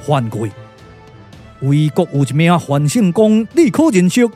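A man's voice: a little high, big pitch swings, a little mellow, soft background music. A man with a Taiwanese accent playing a grave, courtly, emotional strategist in a glove-puppet radio drama, declaiming theatrically. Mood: solemn, courtly. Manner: declamatory, theatrical, drawn-out exclamations.

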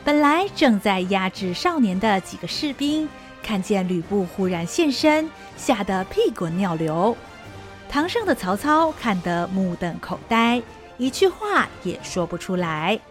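A woman's voice: mid-range voice, big pitch swings, unhurried, soft background music. A woman narrating a historical radio drama in a vivid, dramatic storytelling style.

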